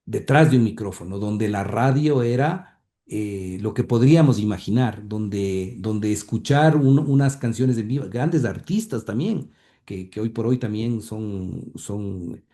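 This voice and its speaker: An Ecuadorian man reminiscing as an interview guest, halting and repeating words as he recalls. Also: on the low side, warm tone.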